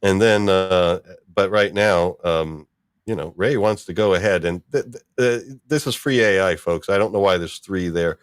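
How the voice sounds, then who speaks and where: A man's voice: sits low, moderate pace, clear, resonant tone, dry recording, clean studio sound. A man hosting a casual live-stream discussion, chatting in a rambling, fan-like way. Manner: chuckling, rambling fan talk. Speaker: host.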